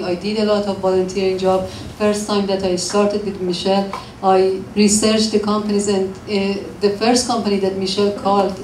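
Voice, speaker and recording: A woman's voice: medium pitch, a little mellow. A woman in her forties speaking on a panel with a handheld mic, earnestly giving newcomers practical job advice. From came to Canada as a newcomer. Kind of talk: panel discussion. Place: classroom panel table. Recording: echoey classroom, faint noise.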